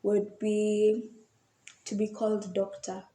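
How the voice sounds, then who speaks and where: A woman's voice: medium pitch, monotone, slow pace, dark tone. A young adult woman with a Kenyan accent chatting casually on a podcast panel, repeating phrases for emphasis. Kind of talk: casual group chat. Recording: roomy echo, faint background noise.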